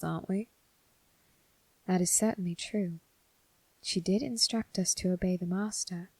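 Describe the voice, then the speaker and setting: A woman voicing a sly, predatory demon disguised as a servant in a scripted ASMR roleplay, with a breathy, whispery voice and a teasing, deliberate delivery. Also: fairly low pitch, darkish tone, dry recording, heavily acted, rough recording. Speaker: voice actor.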